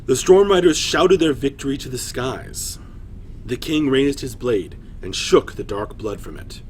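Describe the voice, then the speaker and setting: A man narrating a scripted fantasy story dramatically, with deliberate pauses. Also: medium pitch, expressive, a little hiss or hum.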